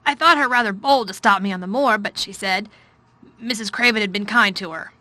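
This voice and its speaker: A woman voicing a troubled, fretful middle-aged gentleman in a storybook reading; loud, weary and distracted. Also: mid-range voice, brisk, no echo, lightly acted.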